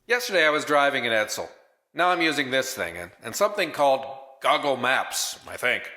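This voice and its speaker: A man's voice: mid-range voice, very animated, brisk, bright, forceful tone. A man playing a wisecracking, old-fashioned newspaper reporter in a radio drama, narrating with hard-boiled, slangy wit. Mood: wry. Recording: roomy echo, faint music behind.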